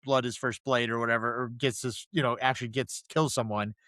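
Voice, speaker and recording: A man with a medium-pitched voice talks casually as a podcast co-host. He works toward his opinions hesitantly, rephrasing and qualifying them. The recording is dry, without room echo. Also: little pitch movement, fairly quick, a bit bright. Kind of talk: casual film discussion.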